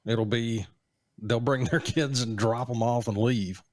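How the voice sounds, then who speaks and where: A man's voice: medium pitch, fairly even intonation, measured pace, darkish tone, no echo. Wry joking man in his late forties. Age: 40s. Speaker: podcast co-host. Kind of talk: casual chat.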